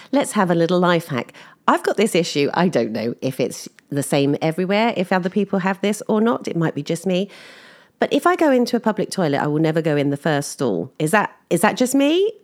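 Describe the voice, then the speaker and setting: Woman, lower-pitched, slightly croaky, lively chatty vlogger telling funny stories. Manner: chatty, self-deprecating, laughs while telling stories. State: slightly croaky from lack of sleep. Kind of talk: casual chatty monologue.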